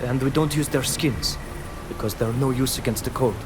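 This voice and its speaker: An actor playing an earnest, proud young islander in a video game, speaking in a measured, firm manner. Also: male voice, medium pitch, music in the background, lightly acted. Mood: earnest, reasoning. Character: earnest young islander man, proud defender of his people. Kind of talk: video game character acting.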